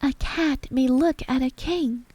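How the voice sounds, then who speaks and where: Young storybook girl, played by a woman: polite, prim, earnest, pitched high and light. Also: slow pace, warm tone, slightly rough, no echo, heavily acted. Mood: matter-of-fact.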